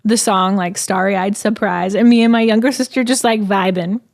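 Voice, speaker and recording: A woman's voice: mid-range voice, steady tone, normal speed, creaky, a little mellow. A woman in her late twenties co-hosting a podcast and telling a laid-back childhood story in casual chat. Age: late 20s. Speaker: podcast co-host.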